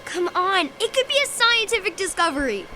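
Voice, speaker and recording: Very high-voiced curious schoolgirl. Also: very animated, brisk. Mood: excited curiosity. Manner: eager. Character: curious, excitable young schoolgirl eager to discover. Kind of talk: children's audio drama acting. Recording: noisy outdoor ambience behind.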